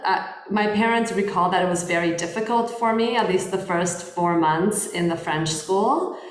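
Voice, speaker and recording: A woman in her thirties from Poland, a lawyer guest talking thoughtfully in an interview, often restarting her sentences. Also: fairly low pitch. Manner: thoughtful, measured, frequent restarts. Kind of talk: interview conversation. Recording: roomy echo.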